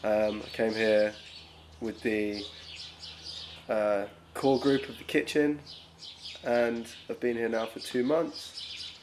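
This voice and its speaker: A young man from England, a volunteer, speaks in a relaxed, plain way outdoors. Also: mid-range voice, takes it slowly, long pauses, slight background noise. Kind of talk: interview answer.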